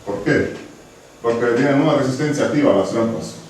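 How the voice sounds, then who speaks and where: A man's voice: mid-range voice, brisk, darkish tone. A man from Estonia speaking with an Estonian accent, giving a conference talk in a hesitant, friendly way with frequent restarts. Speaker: conservation researcher giving a talk. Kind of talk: conference presentation. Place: lecture hall. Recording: very echoey hall from far off, faint noise.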